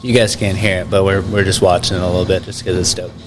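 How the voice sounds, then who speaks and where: A man's voice: sits low, flat delivery, fast, noisy background. A man hosting a podcast in a casual, laid-back, slangy way, often saying bro. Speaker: podcast host.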